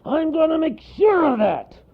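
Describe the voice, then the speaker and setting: A man voicing a huge, grumpy bear who wants sleep, with a gruff, growling, exasperated roar in a children's story. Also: extremely high pitch, very animated, unhurried, highs cut off, voice pushed up, heavily acted. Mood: grumpy, forceful. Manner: roaring, exasperated bellowing.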